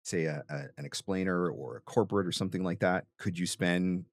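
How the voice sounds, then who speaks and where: A man's voice: low voice, fairly quick, vocal fry. A man hosting an interview as a voiceover coach, giving conversational advice in an emphatic, explanatory and encouraging way.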